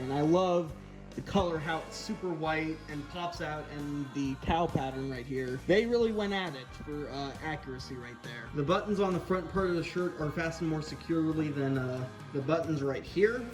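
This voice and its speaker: A man's voice: on the high side, moderate pace. A man giving a casual hands-on toy review as the host, sharing opinions in a hesitant, meandering way. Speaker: toy collector reviewer hosting an unboxing video. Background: background music.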